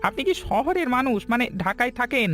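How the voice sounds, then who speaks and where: Male actor as kind, inquisitive cartoon villager: strikingly high pitch, plain-spoken, light music behind. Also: very animated, a little fast, sharp tone, heavily acted. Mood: probing. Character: kind but probing hill villager, protective husband. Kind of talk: cartoon story dialogue.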